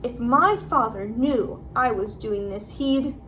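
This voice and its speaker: A woman's voice: fairly high pitch, takes it slowly, fairly bright tone, slight background noise. A woman voicing a haughty, frightened, petulant schoolboy in a storybook. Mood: indignant panic. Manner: petulant, protesting.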